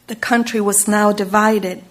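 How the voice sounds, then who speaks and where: Woman speaker, unhurried and solemn, sermon delivery. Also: medium pitch, fairly even intonation. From Chile. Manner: measured, solemn, lingering on single words. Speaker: Unitarian Universalist speaker. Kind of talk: slow reflective sermon.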